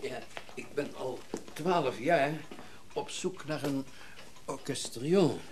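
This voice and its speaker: An actor playing a wealthy, self-important businessman and collector in a Dutch radio drama, brisk and commanding. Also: mid-range voice, a little mellow, slight background noise, lightly acted. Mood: eager, commanding.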